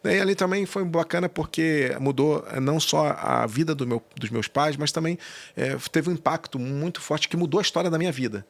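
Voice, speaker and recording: A man's voice: a little high, a little fast, creaky. Man telling his story conversationally. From Brazil, suburbs of Rio de Janeiro. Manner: frequent tag questions seeking agreement. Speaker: podcast guest.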